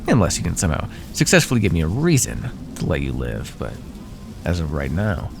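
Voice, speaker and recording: Deep, smug snake-man predator, acted by a man. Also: wildly swooping pitch, fairly quick, creaky, background noise, heavily acted. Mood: mocking amusement. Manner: mocking, condescending, drawling pet names. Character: smug predatory male naga, teasing and menacing. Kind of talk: ASMR fantasy roleplay.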